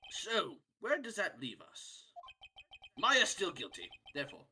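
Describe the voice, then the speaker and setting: A man's voice: sits high, wildly swooping pitch, unhurried, sharp tone, breathy edge. A man playing a judge in a casual game fandub, joking, goofy and easily sidetracked. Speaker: fandub player voicing judge.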